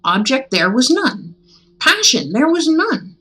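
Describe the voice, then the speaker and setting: A woman's voice: high-pitched, sing-song, slow pace, sharp tone, voice pushed up, heavily acted. A woman playing a nervous, mad narrator of a gothic horror tale, intense and insistent in a dramatic storybook reading. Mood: obsessed.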